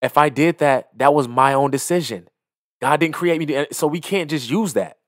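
A man's voice: on the high side, moderate pace, no room sound. A young man giving emphatic, moralizing reaction commentary into a close microphone. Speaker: video commentator. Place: small indoor home studio. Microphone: close studio microphone on an arm, headset on.

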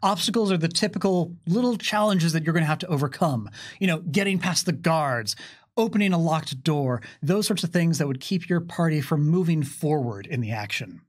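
A man's voice: fairly high pitch, animated, moderate pace. A man in his thirties co-hosting a game demonstration, explaining rules in a casual, conversational way. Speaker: game developer and co-host demonstrating tabletop rules. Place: small curtained studio, seated at a table. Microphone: microphone on a boom stand.

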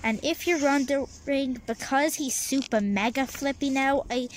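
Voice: sits high, a little slow. An amateur reader telling a comic book story aloud outdoors, expressively, putting on voices for the characters. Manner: expressive, acts out the lines. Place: outdoors, seated above paving. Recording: noisy outdoor phone recording.

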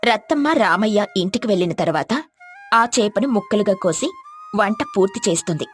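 A woman's voice: mid-range voice, lively intonation, fairly quick. Woman narrator over faint music. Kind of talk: scripted moral story narration.

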